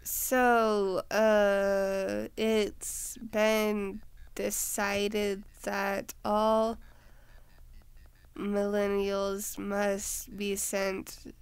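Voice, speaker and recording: A woman voicing a blunt skeletal grim reaper boss in a video game, reading its lines slowly and deliberately. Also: medium pitch, completely flat delivery, smooth, dry recording. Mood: announcing. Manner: slow, drawn-out deliberate delivery. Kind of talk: reading game dialogue aloud.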